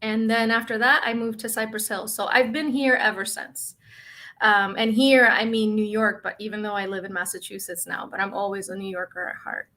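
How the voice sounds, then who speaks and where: A woman's voice: medium pitch, fairly even intonation, a little fast, pushed and bright. A middle-aged woman from the Dominican Republic, a guest in a casual interview, emotional and laughing often.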